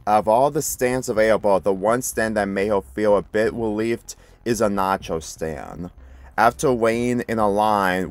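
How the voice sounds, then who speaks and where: A man reading a fan fiction story aloud as scripted narration. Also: on the low side. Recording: music playing behind the voice.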